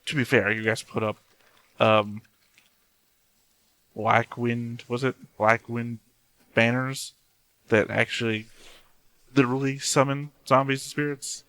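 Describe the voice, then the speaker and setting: A man's voice: mid-range voice, level tone, takes it slowly, pauses often, fairly bright tone, no echo. A man giving casual reaction commentary on a show, musing and thinking aloud as he watches. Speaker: host of an anime reaction channel.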